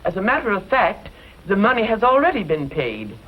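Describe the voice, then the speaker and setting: A woman's voice: medium pitch, very animated, brisk, lightly acted. An actress playing a brusque, businesslike older lady in an old western drama, emphatic in manner, on a dull, noisy recording. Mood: puzzled.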